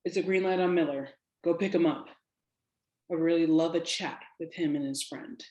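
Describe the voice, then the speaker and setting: A woman playing a stern, sharp-tongued law enforcement agent who speaks with clipped authority in a drama table read. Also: low-pitched, moderate pace, lightly acted. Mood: commanding. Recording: roomy, echoing room sound.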